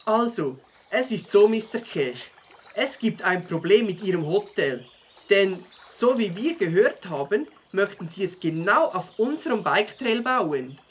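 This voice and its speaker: Plucky husky young beaver kid. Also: female voice, medium pitch, sing-song, a little slow. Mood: indignant. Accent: Swiss German. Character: Bike Biber, plucky determined child beaver hero. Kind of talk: children's audio drama acting.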